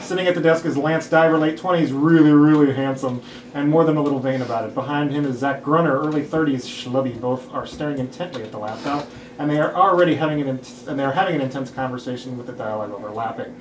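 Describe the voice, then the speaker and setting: A man's voice: mid-range voice, fast talker. A man reading scripted stage directions aloud at a table read. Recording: roomy, distant mic, clear background noise.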